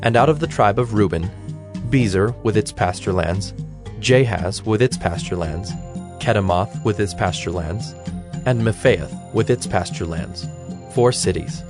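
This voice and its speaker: A man giving a measured, formal narration of scripture as the narrator of a dramatized audio production. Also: lowish voice. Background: instrumental music with plucked strings.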